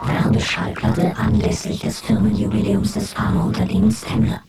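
A man's voice: high-pitched, flat delivery, normal speed, gravelly, far from the mic, loud music behind the voice. A man reading out scripted source credits as a radio feature announcer.

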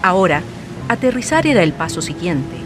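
A man's voice: sits high, big pitch swings, normal speed, music in the background. A man giving dramatic, scripted narration for a historical radio drama. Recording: loud vehicle-like noise behind the voice.

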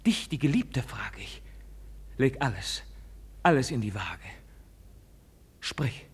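A man's voice: medium pitch, expressive. An actor playing a passionate, anguished young nobleman officer in a 1950s German radio verse drama, declaiming fervently. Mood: tender, imploring. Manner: declamatory, fervent, drawn-out delivery. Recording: 1950s radio production with music.

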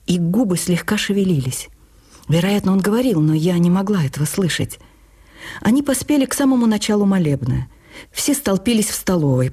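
A woman with a dark, husky voice of medium pitch reads a first-person memoir as radio drama. She is intimate, wistful and expressive. Faint music plays behind her studio recording. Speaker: actress narrating a memoir.

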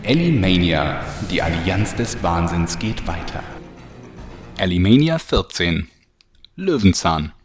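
An actor playing a jovial storyteller who narrates a parody fantasy audio drama in a scripted style. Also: male voice, deep voice, very animated, slow pace, faint music behind, exaggerated voice. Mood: jovial storytelling. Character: jovial male storyteller addressing his listeners.

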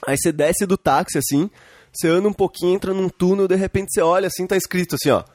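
A man's voice: sits high, fast talker, no room sound, studio quality. A young Brazilian man with a Brazilian Portuguese accent, co-hosting a podcast in casual chat and telling stories full of slang and fillers. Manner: animated storyteller, lots of slang and fillers. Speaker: podcast co-host.

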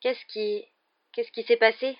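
Une femme qui interprète dans un livre audio un jeune garçon hébété qui se réveille et grogne ses questions. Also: female voice, a little high, steady tone, measured pace, dull audio, voice pushed up, natural voice. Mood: bewildered. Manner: groaning, confused questions. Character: groggy, bewildered schoolboy just waking up. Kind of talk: acted audiobook dialogue.

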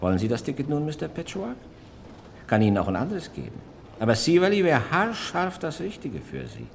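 An actor playing a sly, persistent small-town ticket clerk in a radio crime play, coaxing and repeating himself. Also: male voice, fairly high pitch, wildly swooping pitch, a little fast, gravelly, slight background noise, full character voice. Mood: sly, insistent.

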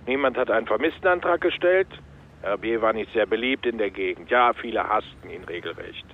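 An actor playing a curt, guarded gendarmerie captain, formal and dismissive, heard through a telephone filter in a radio crime drama. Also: male voice, lowish voice, expressive, a little fast. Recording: thin, narrow telephone line.

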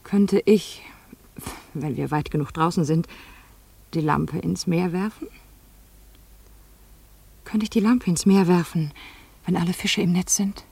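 An actress playing a wealthy, weary woman in a German radio play, speaking in hushed, wistful questions that slip toward whispers. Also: on the low side, measured pace, long pauses, no room sound, exaggerated voice. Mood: wistful yearning. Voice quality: hushed, breathy, slipping into whispers. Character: wealthy, weary, yearning divorced woman, Mrs. Brown.

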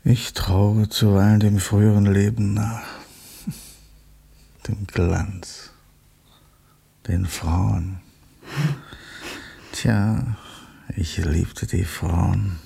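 A man in a radio play acting a weary, brooding leader of revolutionary conspirators, speaking tersely in a husky, gravelly voice. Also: sits low, level tone, very slow, long pauses, mellow, heavily acted. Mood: wistful.

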